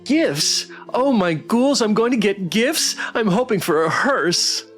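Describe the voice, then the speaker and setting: A giddy, ghoulish dating-show contestant, played by a man, pitched high and swooping eagerly. A cartoonish visual novel figure, with faint music behind. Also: lively intonation, takes it slowly, fairly bright tone, exaggerated voice. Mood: giddy excitement.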